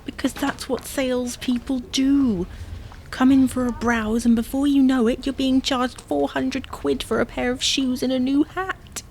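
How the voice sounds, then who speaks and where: A woman's voice: high voice, level tone, a little slow, a little mellow, slight background noise. A woman playing an exasperated, image-conscious wife who scolds and bosses in a domestic comedy audio drama. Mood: bossy, hushed urgency. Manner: bossy, scolding, sarcastic.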